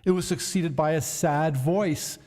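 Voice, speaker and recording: A man reading a gothic horror story aloud in a slow, deliberate, theatrical manner, heard in a long echoing hall. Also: on the high side, a little mellow. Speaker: reader of a gothic tale. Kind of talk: dramatic literary narration.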